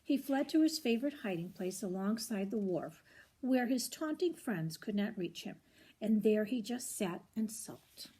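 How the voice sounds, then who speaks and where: An older woman reads a children's storybook aloud in an expressive, grandmotherly way, with short asides to the listener. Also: mid-range voice, a little slow, husky. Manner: expressive, grandmotherly, commenting on the pictures.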